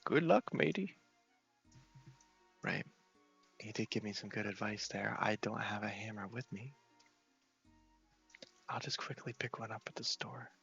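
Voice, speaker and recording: A man with a low, crackly voice softly narrates a video game in ASMR style, often half-whispering. The sound is a little muffled, with music faintly underneath. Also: little pitch movement, moderate pace, gravelly, pauses often, slightly rough. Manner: soft-spoken, half-whispered. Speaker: host of a gaming series.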